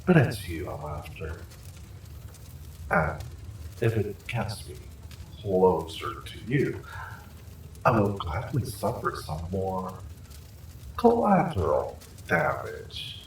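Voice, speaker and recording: Deep-voiced, smug evil sorcerer. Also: male voice, wildly swooping pitch, a little hiss or hum, exaggerated voice, rough recording. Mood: polite menace. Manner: mock-polite, drawn-out, gloating delivery. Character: evil male sorcerer, smug, theatrical, silkily menacing conqueror. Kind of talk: dramatic villain monologue, audio roleplay.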